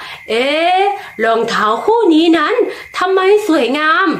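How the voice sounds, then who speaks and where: A woman voicing a poor, gentle old shoemaker who speaks slowly in wonder. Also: very high-pitched, sing-song, echoey room, voice pushed up, heavily acted. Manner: slow, wondering, drawn-out speech.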